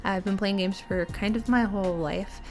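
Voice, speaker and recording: A woman's voice: medium pitch, fairly even intonation, moderate pace. Chatty young woman hosting a stream. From United States. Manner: chatty and giggly, many fillers. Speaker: podcast host. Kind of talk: casual streamed interview.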